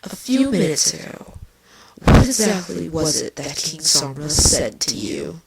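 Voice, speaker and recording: Woman playing a villainous changeling queen, very low, slow, breathy, dry taunting delivery, faint background hiss. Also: big pitch swings, exaggerated voice. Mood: curious. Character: sardonic villainous female insect-like changeling queen. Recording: faint noise and clicks.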